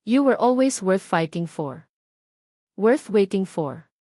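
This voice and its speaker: Soft earnest loving husband read by a woman, medium pitch, dry sound. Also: big pitch swings, takes it slowly, no echo, exaggerated voice. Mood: tender. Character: tender loving husband, adult man.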